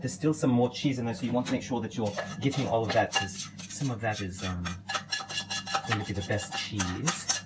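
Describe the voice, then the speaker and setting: A young man playing a genteel, affectionate elf king giving a gentle, hesitant, casual cooking demonstration. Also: mid-range voice, close to an everyday voice. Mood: instructive. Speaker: cooking show host. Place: small home kitchen, indoors. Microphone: camera a step or two away. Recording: clearly noisy kitchen recording.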